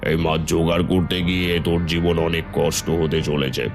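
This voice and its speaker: Cartoon sadhu acted by a man: extremely deep, creaky voice, fatherly and solemn, old-fashioned phrasing. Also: flat delivery, brisk, music in the background. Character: wandering holy man, cryptic and fatherly. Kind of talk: Bengali cartoon folk-tale voice acting.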